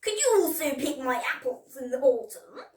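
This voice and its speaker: A woman's voice: high voice, very animated, normal speed, recorded from a distance, voice pushed up. A woman voicing a bossy, blustering old duke in a storybook, imperious and exclamatory. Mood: gruffly agreeable. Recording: echoey room, away from mic.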